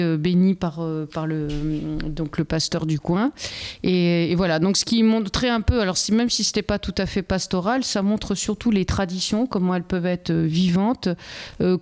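Smooth-voiced woman, hesitant and halting. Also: fairly low pitch, darkish tone. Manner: hesitant, many fillers and restarts. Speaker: interview guest, Erasmus project member. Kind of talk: casual interview conversation.

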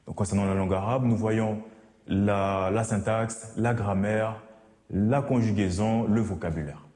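A man's voice: fairly low pitch, fairly even intonation, a little slow, a little mellow. A young man studying theology, carefully translating and describing his lessons. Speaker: theology student. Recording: echoey classroom.

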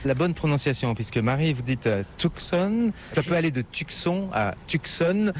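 A man's voice: on the high side, expressive, normal speed, slight background noise. A man hosting a travel radio show, interviewing and presenting in a conversational style.

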